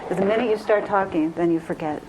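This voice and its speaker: A woman's voice: medium pitch, fast talker. A woman giving earnest, emphatic advice in a conversational teaching style, repeating phrases as she goes. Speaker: co-speaker on intuition. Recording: live recording with faint noise.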